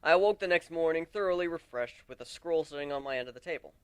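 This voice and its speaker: A man narrating a dramatic first-person story in an expressive, conversational way. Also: on the high side, quick pace, clean tone, dry recording.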